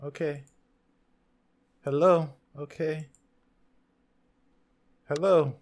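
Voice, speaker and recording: A man's voice: fairly high pitch, steady tone, very slow, darkish tone. A young man, a YouTuber, joking with strangers on a video chat in mock-gangster bravado, recorded on a studio microphone in a small home room. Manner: playful, mock-gangster bravado with sudden mumbled asides. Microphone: studio microphone on a stand, headphones on.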